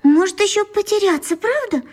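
Very high-voiced earnest little schoolgirl. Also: lively intonation, moderate pace, darkish tone. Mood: earnest, doubtful. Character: careful, doubtful little schoolgirl, earnest and curious. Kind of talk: radio play acting.

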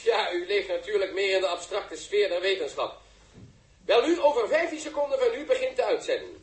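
Slick showy announcer, strikingly high male voice, effusive, grandiose, echoing vintage radio play. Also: lively intonation, brisk. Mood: eager, instructing. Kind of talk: 1960s Dutch radio drama acting. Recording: roomy, echoing radio drama sound.

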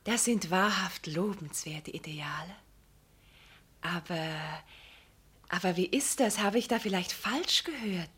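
Unctuous, slow, breathy flatterer. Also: female voice, lowish voice, full character voice. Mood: sly, deferential. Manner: hesitant, deferential, coaxing. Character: unctuous, insinuating married woman flattering a curate. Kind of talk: German radio drama acting.

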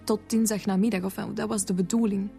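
A woman's voice: medium pitch, fairly even intonation, fairly quick, gravelly, warm tone, close to an everyday voice. An actress playing a distraught, guilt-ridden Flemish mother in a radio drama, intense and emotional. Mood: confessing. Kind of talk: naturalistic radio drama acting. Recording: music behind the voice.

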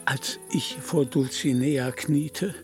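A man's voice: medium pitch, steady tone, slow pace, vocal fry, faint music behind. A man in a German radio play acting a solemn, deluded, lovelorn knight in a grandiloquent, plaintive manner. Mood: lamenting.

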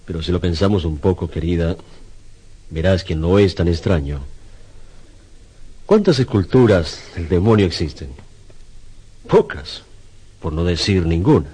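A tormented, brooding artist and husband in an old-style radio suspense play. His very low voice is husky, and he speaks gravely, with theatrical, hesitant pauses. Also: animated, mellow, full character voice. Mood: intense, brooding. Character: troubled Spanish sculptor, brooding husband tormented by a commission.

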